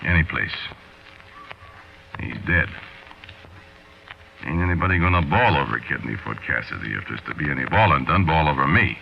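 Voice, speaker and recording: A muffled old radio recording carries a very deep-voiced American small-time crook. He is weary and fatalistic, confiding in slangy, halting talk, in the manner of a 1940s radio drama. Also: expressive, gravelly, heavily acted. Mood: grim, confiding.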